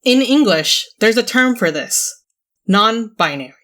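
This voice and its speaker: A young woman from California reading a scripted personal essay in a reflective, measured and wry way. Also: fairly high pitch, expressive, fairly bright tone. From Orange County, California. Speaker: video essayist.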